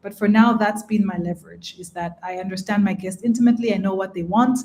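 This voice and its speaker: A woman from Uganda explains her rental business to a panel in a calm, measured voice. She speaks at an ordinary pitch and keeps the tone businesslike and orderly. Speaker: presenting investor. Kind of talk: live panel presentation.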